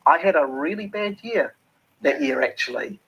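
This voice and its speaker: A man's voice: on the high side, expressive, moderate pace, fairly bright tone, husky, highs cut off. A man with a New Zealand accent, an interview guest talking earnestly with many ums and restarts. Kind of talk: reflective interview talk.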